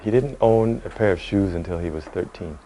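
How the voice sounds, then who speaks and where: Interviewee, male, middle-aged, American accent, lowish pitch, earnest and articulate executive. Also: brisk, mellow, a little hiss or hum, poor audio. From Cleveland, United States. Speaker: real estate executive being interviewed. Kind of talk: conversational interview.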